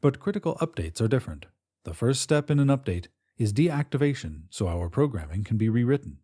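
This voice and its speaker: Mellow male narrator with expressive pitch. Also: a little fast. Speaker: audiobook narrator. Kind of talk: scripted first-person fiction narration.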